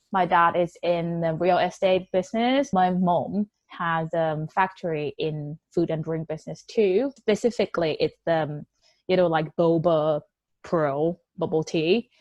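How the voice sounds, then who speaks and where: Young woman talking about her business, low voice, dry sound, says um a lot. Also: dry recording, muffled recording. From Thailand. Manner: conversational, non-native English with fillers. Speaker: entrepreneur guest. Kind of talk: interview answers.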